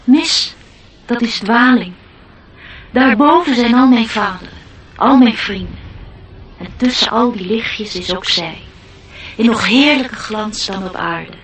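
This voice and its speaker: A woman's voice: on the high side, measured pace, long pauses, slightly rough. A woman playing a courteous, proud glow-worm in mourning, formal and wistful, in a radio play. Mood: mournful, proud. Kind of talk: Dutch radio play acting. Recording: distant-sounding radio play recording.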